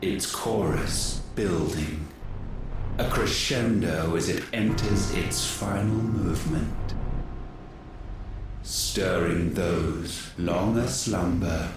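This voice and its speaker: An actor playing an ancient godlike villain in a video game, cold and haughty, speaking in grave, measured, archaic pronouncements with theatrical weight. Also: male voice, low voice. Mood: solemn, foreboding. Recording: heavy echo with background music.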